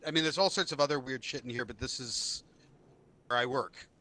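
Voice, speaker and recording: A man's voice: medium pitch, a little fast, pushed and bright. A man chatting casually on a video call from his small home studio, slangy and self-deprecating. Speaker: guest musician. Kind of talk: casual livestream chat.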